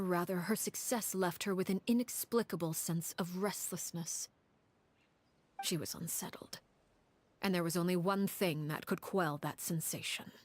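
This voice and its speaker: A commanding woman of cold composure, tells dark video game lore. Her voice sits fairly low and stays hushed and breathy. Each phrase is solemn and deliberate. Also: dry recording. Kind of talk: dramatic storytelling in a video game.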